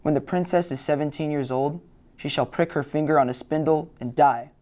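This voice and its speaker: A spiteful, elderly fairy from a storybook , as a narrator reads her. She speaks solemnly and with menace, in a mid-pitched voice. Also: brisk, darkish tone, lightly acted. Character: spiteful old fairy woman cursing a princess.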